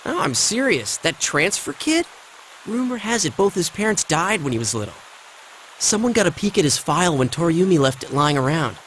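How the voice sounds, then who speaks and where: A cocky, gossipy teenage pal in an anime game. His voice is high and bounces around a lot, slangy and teasing, over a faint wash of rain. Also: sing-song, moderate pace, a bit bright, slight background noise, rough recording.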